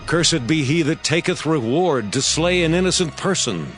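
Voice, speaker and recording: An actor playing a stern priest pronouncing solemn curses, deliberate and declamatory, in a dramatized scripture reading. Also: male voice, fairly high pitch, lively intonation, loud music behind the voice, natural voice. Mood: stern, condemning.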